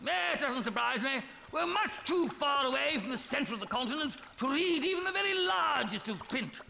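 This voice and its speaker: An actor playing a pompous, blustering upper-class expedition captain in a live radio comedy. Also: male voice, very high voice, expressive, moderate pace, vocal fry, bright, forceful tone, full character voice. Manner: blustering, plummy and self-important. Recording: live radio studio with reverb and faint hiss.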